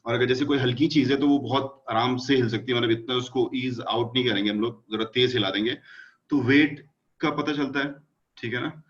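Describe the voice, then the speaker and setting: A man's voice: medium pitch, fairly even intonation, brisk, dull audio. A man explaining animation with everyday examples, full of casual fillers. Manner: talkative, fillers like "matlab" and "achha yaar".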